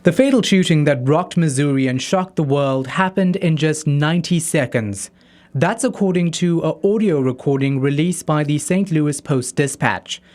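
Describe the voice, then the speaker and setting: A man's voice: fairly high pitch, moderate pace, a little mellow. A man working as a correspondent, narrating a scripted news report.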